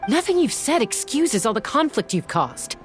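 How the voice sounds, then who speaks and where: Tough young heroine, very expressive pitch. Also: female voice, moderate pace. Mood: cold, defiant. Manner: blunt, firm, confrontational. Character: tough, principled young woman warrior, blunt and defiant. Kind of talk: scripted video game voice acting. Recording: music under the voice.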